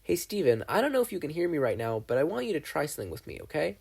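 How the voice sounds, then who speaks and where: Give me a man playing an earnest young teenage boy, husky and soft-spoken, coaching gently. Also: fairly high pitch, big pitch swings, pitched above the performer's own voice, heavily acted. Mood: soothing. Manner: gentle, coaching, talks fast.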